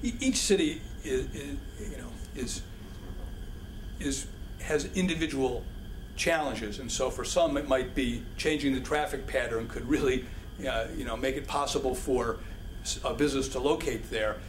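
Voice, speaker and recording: A man answering audience questions at a public policy forum, halting and full of restarts. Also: mid-range voice. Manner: halting, many restarts and fillers. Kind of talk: public Q&A talk. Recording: echoey hall with background noise.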